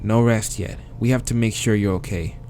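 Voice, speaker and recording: A man's voice: fairly low pitch, fairly even intonation, moderate pace, a little mellow, soft background music, close to an everyday voice. A man voicing a stern, sour cat librarian from a visual novel, curt and commanding.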